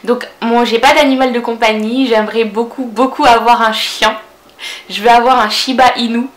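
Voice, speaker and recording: A woman's voice: a little high, fairly even intonation, moderate pace. Young woman, Parisian French accent, chatty vlogger, fillers and restarts, roomy echo. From France. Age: 20s. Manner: chatty, lots of fillers and self-corrections. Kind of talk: casual Q&A vlog.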